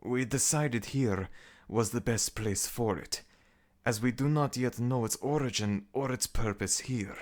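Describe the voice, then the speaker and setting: A man plays an underworld servant in an audio roleplay, pitched well up from a deep natural voice. The figure is nervous and servile. He speaks in a halting, deferential way, as if reporting to a master. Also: lightly acted. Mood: anxious, reporting. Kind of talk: ASMR audio roleplay acting.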